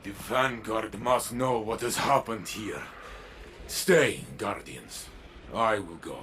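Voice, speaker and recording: An actor voicing a righteous, anguished warrior in a video game, gravelly and declamatory. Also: male voice, lowish voice, expressive, slow pace, a bit bright, slight background noise, heavily acted. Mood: bitter, stern. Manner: declamatory, impassioned. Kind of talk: video game cutscene dialogue.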